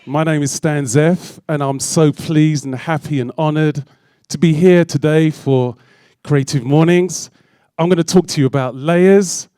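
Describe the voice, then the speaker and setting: A middle-aged man from London speaks to a morning audience in a friendly, rambling way, pausing on ums. His accent is plain London. The sound is dry and close. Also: on the high side, fairly even intonation, warm tone, clear, resonant tone, dry recording. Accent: London English. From London, England. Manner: friendly, chatty, with frequent ums. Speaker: guest speaker. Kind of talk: live talk to an audience. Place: event hall with audience.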